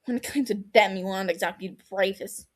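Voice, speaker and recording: A woman voicing a defiant, exasperated runaway elder brother in an audio story, forceful, indignant and defensive. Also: medium pitch, fast, close to an everyday voice.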